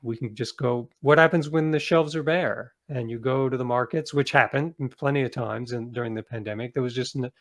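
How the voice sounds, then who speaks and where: A man's voice: medium pitch, a little fast, few pauses, a bit bright. Thoughtful man explaining in an interview. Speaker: author being interviewed. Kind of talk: conversational, reflective interview talk.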